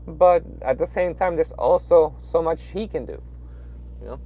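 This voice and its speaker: A man's voice: fairly high pitch, animated, moderate pace, mellow, rough recording. A young man from Peru with a Peruvian Spanish accent, chatting casually on a late-night livestream from inside his truck cab, rambling with lots of fillers and restarts. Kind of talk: casual livestream chat reading viewer comments.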